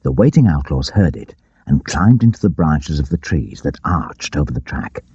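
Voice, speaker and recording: A man narrating an adventure audiobook in an expressive, theatrical storytelling style. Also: a bass voice, normal speed, breathy edge, no room sound. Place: dry studio. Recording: dull, lo-fi tape audiobook.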